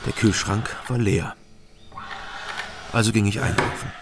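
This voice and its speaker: A man's voice: fairly low pitch, fairly even intonation, unhurried, creaky, heavy background noise. An actor playing a laconic East German ex-policeman and private detective in a radio crime drama, terse and matter-of-fact. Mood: dry, brooding. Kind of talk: radio crime drama, first-person narration and dialogue. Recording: music behind the voice.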